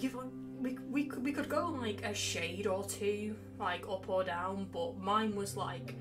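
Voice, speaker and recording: Young woman chatting over loud music. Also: medium pitch. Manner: rambling, sweary, self-mocking. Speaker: game streamer. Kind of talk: casual chatty livestream. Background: game music with guitar.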